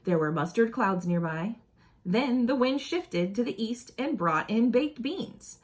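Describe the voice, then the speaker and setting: A woman reading a children's picture book aloud expressively, with deliberate phrasing and asides for young listeners. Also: fairly high pitch, lively intonation.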